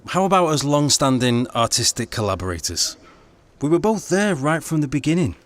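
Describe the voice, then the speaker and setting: Radio drama: theatre-company founder, persuasive and conciliatory yet insistent, lively intonation. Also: male voice, on the high side, normal speed. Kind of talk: audio drama acting.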